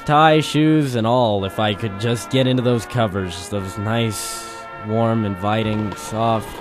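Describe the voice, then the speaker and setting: A man's voice: medium pitch, close to an everyday voice. A man playing a world-weary, wisecracking private detective in an old-time radio drama style, with theatrical noir delivery. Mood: weary, drowsy. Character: world-weary hardboiled male private detective narrator, ex-Marine. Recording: music behind the voice.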